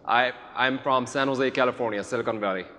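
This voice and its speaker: A man in his forties from India, giving earnest, somewhat halting answers in an on-stage interview. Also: medium pitch, bright, forceful tone. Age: 40s. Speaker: real estate agent, guest speaker. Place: large event hall with audience. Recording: echoey hall with faint noise.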